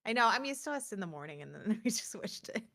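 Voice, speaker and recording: A woman's voice: on the high side, big pitch swings, quick pace, fairly bright tone. Chatty woman co-host, casual and informal. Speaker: co-host of a tech and gaming news show. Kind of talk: casual chat.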